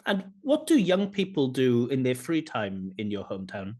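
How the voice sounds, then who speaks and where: Male examiner, husky and animated, formal questioning on a video call. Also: mid-range voice, normal speed. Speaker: IELTS examiner and channel host.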